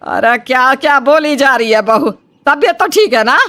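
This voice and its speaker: A woman's voice: high voice, animated, normal speed, a bit bright, no room sound, soft background music. A woman voicing an indignant, domineering middle-aged mother-in-law who scolds dramatically in a comic family story. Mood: threatening.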